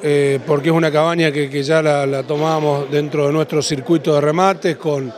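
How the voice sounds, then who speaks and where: A man in his thirties with an Argentine accent, answering interview questions as a livestock auctioneer, in a halting way that repeats and rephrases. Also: on the high side. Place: large indoor event hall after an auction. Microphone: handheld interview microphone.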